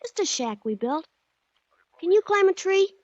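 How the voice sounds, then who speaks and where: A woman's voice: extremely high pitch, big pitch swings, unhurried, darkish tone, dry recording, full character voice. A woman playing an earnest, secretive small-town American boy with hesitant kid slang in a 1940s radio drama. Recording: dull old 1940s radio recording.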